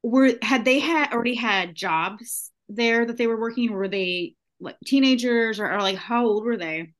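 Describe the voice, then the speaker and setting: A woman's voice: on the high side, moderate pace. A woman interviewing a guest, asking questions with some restarts and giving short friendly replies. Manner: friendly, brief backchannels, hesitant questions.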